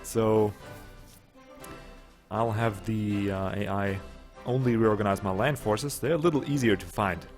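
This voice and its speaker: A man's voice: lowish voice, unhurried, soft background music. A young man, not a native English speaker, casually commenting on his strategy game as he plays, thinking aloud and joking with his units. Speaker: let's play host.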